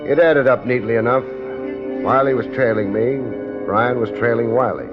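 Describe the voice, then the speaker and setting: An actor playing a cool, wry private investigator who leads and narrates a 1950s radio detective drama in a laconic, casual way. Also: male voice, medium pitch, normal speed, lightly acted. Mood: dry narration. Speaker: lead and narrator. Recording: muffled 1950s radio recording, music.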